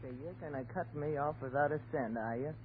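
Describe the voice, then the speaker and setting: An American actress playing a scornful, shrewish wife in a 1930s radio horror drama, with mocking, theatrical delivery. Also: female voice, sits low, narrow, tinny audio, lightly acted. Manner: mocking, drawn-out taunts with theatrical outbursts. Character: scornful, shrewish wife turning hysterically into a cat. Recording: scratchy, band-limited 1930s radio recording.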